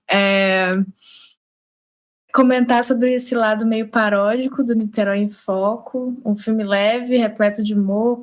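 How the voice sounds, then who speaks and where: Woman from Belo Horizonte moderating. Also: mid-range voice. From Belo Horizonte, Brazil. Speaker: panel moderator. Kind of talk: moderating a film debate.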